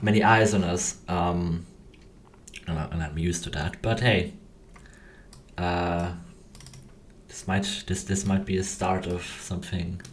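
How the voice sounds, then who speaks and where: Low-voiced young Austrian man, rambling casually. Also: steady tone, slow, long pauses, a little hiss or hum. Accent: Austrian German. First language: German. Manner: hesitant, self-interrupting, rambling. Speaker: game developer hosting a livestream. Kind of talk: casual rambling livestream chat. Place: indoors at a computer desk.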